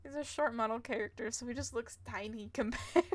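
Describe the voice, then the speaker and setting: A woman's voice: a little high, fairly quick. A woman game streamer giving casual live playthrough commentary, with wry, giggly asides.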